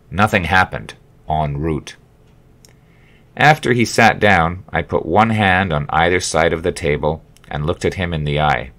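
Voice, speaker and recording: A man narrating his own memoir as an audiobook, with expressive, wry storytelling. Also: sits low. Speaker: author reading own memoir.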